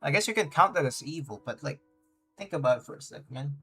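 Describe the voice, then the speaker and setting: Male game streamer, offhand and slangy, halting as he thinks aloud, faint music behind. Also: on the high side, animated, pushed and bright, husky. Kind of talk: casual game stream commentary.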